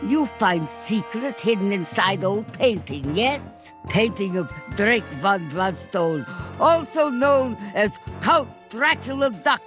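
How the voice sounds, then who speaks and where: A gloating, cackling sorceress duck in a game cutscene. She speaks slowly in broken, heavily English, her voice swooping up and down. Loud music plays behind her. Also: medium pitch, sing-song. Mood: scheming. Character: scheming sorceress duck, villainous, theatrical. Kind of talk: video game cutscene acting.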